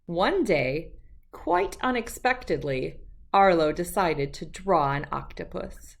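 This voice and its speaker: Very animated, slow-paced woman storyteller. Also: on the low side, vocal fry. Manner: animated, deliberate, emphatic phrasing. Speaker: children's book read-aloud host. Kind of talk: expressive storybook narration.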